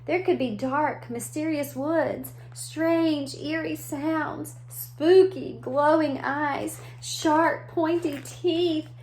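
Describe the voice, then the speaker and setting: A woman's voice: high-pitched, fairly even intonation, drawn-out words, few pauses, darkish tone, pitched above the performer's own voice. Woman reading as a timid, childlike alligator husband. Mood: worried. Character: childlike, comfort-loving, timid male alligator husband, storybook.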